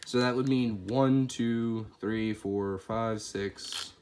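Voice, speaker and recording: Stuffy-nosed man, low voice, background noise. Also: slow pace. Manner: chatty and easygoing, thinking aloud while handling the toy. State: stuffy-nosed, voice cracking from a cold. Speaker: toy figure reviewer. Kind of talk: casual product review. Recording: clear steady background noise.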